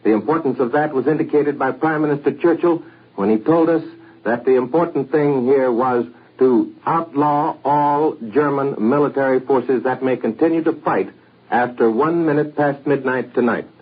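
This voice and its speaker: Husky American man on tinny 1940s radio. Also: mid-range voice, normal speed. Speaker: radio news anchor. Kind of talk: 1940s radio news broadcast commentary. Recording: tinny, muffled 1940s radio broadcast recording.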